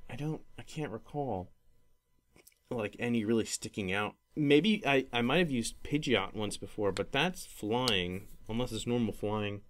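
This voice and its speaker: Man, medium pitch, casual podcast host, halting, meandering delivery, conversational. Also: fairly bright tone, a little hiss or hum. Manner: rambling, halting, trailing off and circling back. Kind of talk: casual gaming podcast chat.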